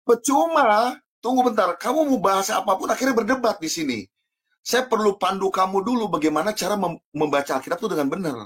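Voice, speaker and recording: A husky-voiced man, pitched slightly high. He talks as a pastor in a debate, cutting in and admonishing while he teaches how scripture should be read. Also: lively intonation, quick pace, a bit bright. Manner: interrupting, admonishing, informal. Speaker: pastor on a panel. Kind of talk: religious debate, scripture instruction.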